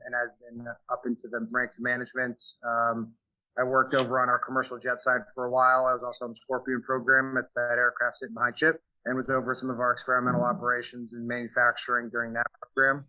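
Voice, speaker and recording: A man's voice: medium pitch, bright, forceful tone, low-quality recording. A man answering interview questions about his career, earnest, with many fillers and restarts. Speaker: value stream manager, guest. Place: aircraft manufacturing facility.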